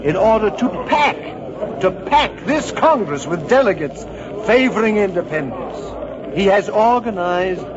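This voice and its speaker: A man's voice: sits high, lively intonation, a little slow. A man playing a stern, alarmed colonial congressman in a vintage radio drama, speaking in formal, emphatic oratory. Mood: grave indignation. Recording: dull old radio recording, crowd noise.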